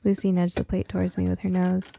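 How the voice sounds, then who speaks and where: A woman's voice: fairly low pitch, completely flat delivery, fairly quick, creaky, background noise. A woman reading scripted first-person story narration for an audiobook.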